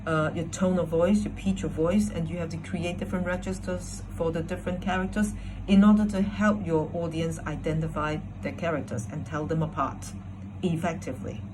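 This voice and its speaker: A woman's voice: low voice, fairly even intonation, normal speed. A woman speaking as a competition judge, explaining her feedback point by point. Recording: clear background noise.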